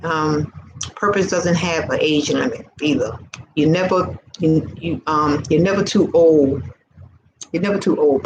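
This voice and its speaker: A woman's voice: sits low, dull audio. A woman in her early sixties giving fervent, repetitive faith encouragement in an informal vlog. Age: 60s. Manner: fervent and repetitive, preacher-like exhortation. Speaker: faith vlogger. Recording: noisy background hiss.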